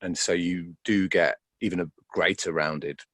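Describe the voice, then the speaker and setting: A man's voice: sits low, fairly even intonation, a little slow, fairly bright tone, dry recording. A man being interviewed as an actor, speaking reflectively and hesitantly, searching for words and repeating himself.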